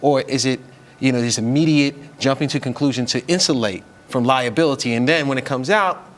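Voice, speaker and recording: Male attorney pundit, medium pitch, argumentative studio commentary with emphatic repeats. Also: a little fast. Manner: argumentative, emphatic repetition, rhetorical questions. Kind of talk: televised panel commentary. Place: television studio.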